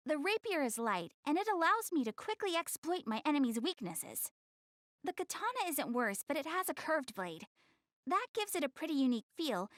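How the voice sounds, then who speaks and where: An actress voicing a proud, easily flustered young anime goddess in a video game, haughty yet earnest in manner. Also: female voice, sits high, a bit bright, no room sound, clean studio sound. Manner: haughty, stammers when flustered, explains things earnestly. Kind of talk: English anime game voice acting.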